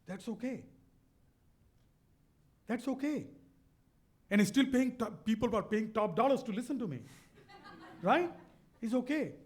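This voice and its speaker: A man's voice: extremely high pitch, lively intonation, a little slow, pauses often. A middle-aged man with a Bangladeshi accent, telling a motivational story in an earnest, emphatic way, often repeating words. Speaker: speaker on a stage talk.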